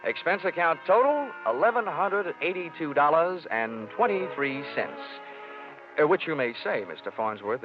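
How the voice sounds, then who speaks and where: An American man acting a tough, wisecracking insurance investigator in 1940s radio drama, with a hard-boiled, sardonic, punchy manner. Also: medium pitch. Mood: dry, wry. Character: tough, wisecracking male insurance investigator, narrating his case. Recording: muffled old radio broadcast with music.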